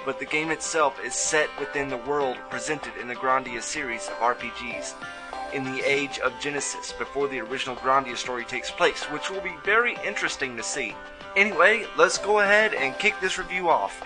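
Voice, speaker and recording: Male review host, mid-range and bright, scripted narration over light background music. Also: mid-range voice, brisk, low-quality recording. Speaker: host of a video game review show.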